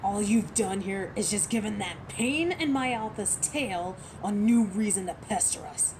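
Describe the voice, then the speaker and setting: A woman's voice: mid-range voice, lively intonation, measured pace, noisy background, lightly acted. A woman voicing a commanding, exasperated and ruthless female alpha werewolf in a dramatic roleplay audio, imperious and sardonic. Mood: exasperated, angry.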